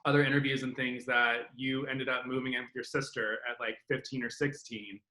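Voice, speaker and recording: Upbeat male host, bright voice. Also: mid-range voice, flat delivery, brisk, roomy sound, poor audio. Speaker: interview host. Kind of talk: interview host, scripted intro and questions.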